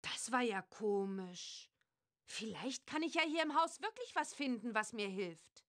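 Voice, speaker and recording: Plucky little witch girl from a kids game, highish and really animated. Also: unhurried. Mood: curious, matter-of-fact. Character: bright young witch girl, curious and plucky. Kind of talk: children's adventure game acting.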